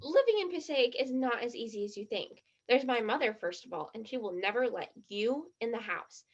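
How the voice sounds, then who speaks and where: A woman's voice: fairly high pitch, lively intonation, normal speed, slightly rough. An actress in a stage play as a blunt, skeptical, dramatic teenage girl, in a slightly muffled recording. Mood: doubtful.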